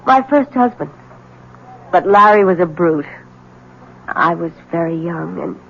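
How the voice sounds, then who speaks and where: An actress playing a troubled, proper young wife in a 1970s radio drama, earnest and hesitant in manner. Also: female voice, mid-range voice, gravelly, lightly acted. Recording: dull, noisy vintage radio recording.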